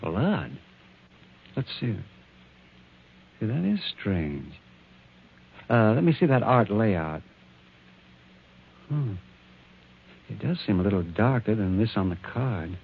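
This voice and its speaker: Casual, evasive office guy, 1940s radio drama, husky voice on a tinny broadcast. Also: lowish voice, big pitch swings, a little slow, long pauses, heavily acted. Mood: evasive, flustered. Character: casual evasive male office artist named Jack. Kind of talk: 1940s radio mystery acting.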